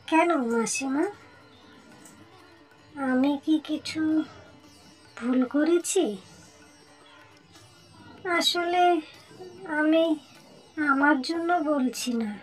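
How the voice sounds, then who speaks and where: A woman acts out a poor village mother in a melodramatic cartoon. Her voice is strikingly high and her words come extremely slowly, hesitant and pleading, over faint music. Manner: hesitant, pleading, drawn-out delivery. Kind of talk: slow melodramatic cartoon dialogue.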